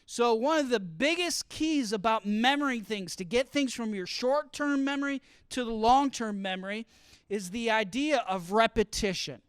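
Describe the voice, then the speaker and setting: A man giving a lively teaching talk to students, often asking the room questions as he explains. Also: extremely high pitch, normal speed, clean tone, dry recording, clean studio sound. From grew up partly in Costa Rica. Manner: asks the audience questions, explains with extended metaphor. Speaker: college speaker teaching study skills. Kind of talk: interactive classroom talk.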